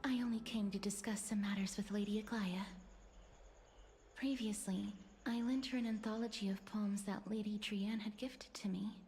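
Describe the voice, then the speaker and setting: Woman playing a hushed, gentle bookish young lady. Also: mid-range voice, fairly even intonation, slight background noise, natural voice. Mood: soft, solemn. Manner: slow, hushed, breathy, formal delivery. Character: gentle, melancholy, bookish young woman, soft-spoken and courteous. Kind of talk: video game voice acting, story dialogue. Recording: game music behind the voice.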